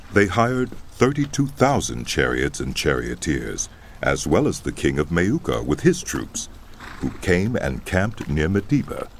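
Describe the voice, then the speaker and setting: A man narrating a dramatized scripture audiobook in a solemn, deliberate storytelling style. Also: a bass voice, gravelly, slight background noise. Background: music.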